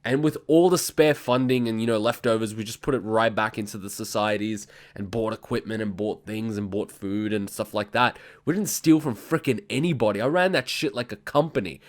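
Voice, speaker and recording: A man's voice: on the low side, a little fast, few pauses. A man, bluntly ranting and swearing as host of a reaction video. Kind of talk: casual reaction commentary.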